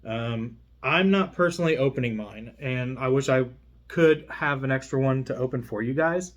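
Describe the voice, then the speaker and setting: A man's voice: mid-range voice, moderate pace. A man chatting casually and unscripted as he presents an unboxing video, in a rambling, conversational way. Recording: clear steady background hum.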